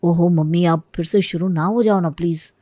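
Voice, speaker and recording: A woman's voice: on the low side, fairly even intonation, normal speed, mellow, no echo, clean studio sound, voice pushed down, natural voice. A woman voicing a practical, patient grown son talking to his mother in a moral storybook tale. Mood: exasperated. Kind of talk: animated moral storybook dialogue.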